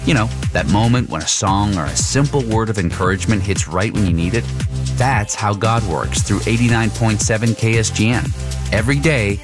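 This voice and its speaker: A man with a low voice delivering scripted promo narration over a music bed. His read is smooth and persuasive. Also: brisk. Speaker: promo announcer.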